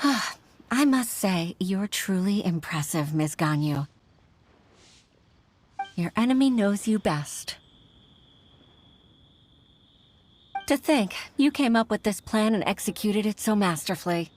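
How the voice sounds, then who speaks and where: A woman's voice: mid-range voice, expressive, unhurried, darkish tone, slight background noise, lightly acted. A woman playing an earnest, grateful young official in a video game, speaking sincerely and deferentially. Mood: admiring, humbled.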